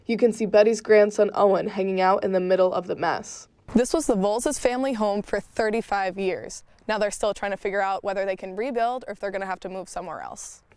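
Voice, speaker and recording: A woman's voice: mid-range voice, a little fast, darkish tone, smooth. A young woman working as a student TV reporter, reading a scripted news report. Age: young adult.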